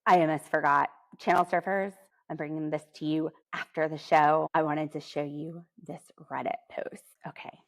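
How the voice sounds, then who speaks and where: Woman podcast host, snarky reality tv recap, gravelly edge to her voice, dry close sound. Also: sits low, flat delivery, moderate pace, hardly any pauses, no room sound. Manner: sassy, sarcastic commentary.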